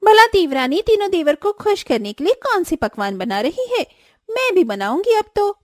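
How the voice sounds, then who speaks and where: A woman voicing a vain, jealous, haughty and sharp-tongued young housewife in a cartoon. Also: extremely high pitch, big pitch swings, moderate pace, a little hiss or hum, pitched above the performer's own voice. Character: vain, jealous, snappish elder sister-in-law.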